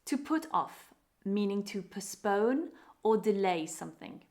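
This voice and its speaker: A woman with a British English accent leads a scripted English lesson. Her delivery is clear and upbeat, aimed at learners. Her voice sits at an ordinary middle pitch. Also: animated, unhurried, darkish tone. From Britain. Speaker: English teacher.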